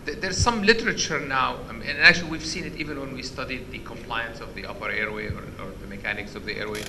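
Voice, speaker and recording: Guy with a loud voice teaching a medical session, keeps asking the room questions, noisy background. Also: medium pitch, quick pace. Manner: questions the audience, many restarts. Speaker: physician lecturer. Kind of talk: interactive medical lecture. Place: conference hall.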